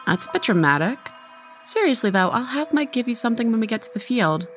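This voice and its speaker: A woman's voice: fairly high pitch, very animated, fast, faint music behind. A woman playing a breezy, persuasive, gossipy young college friend in an audio horror drama. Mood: reassuring.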